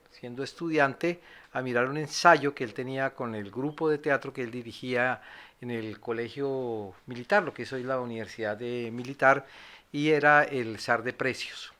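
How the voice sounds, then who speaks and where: A man with a Colombian accent talks reflectively about his work in theatre. His voice sits at a middle pitch. He goes carefully, halting often to choose his words. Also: bright, forceful tone. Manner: halting, deliberate, with many pauses. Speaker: theatre director and playwright, guest panelist. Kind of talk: reflective spoken introduction.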